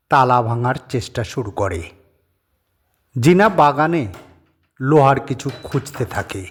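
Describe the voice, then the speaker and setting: A man narrating a scripted horror story as its reader, measured, pausing between short phrases. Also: medium pitch.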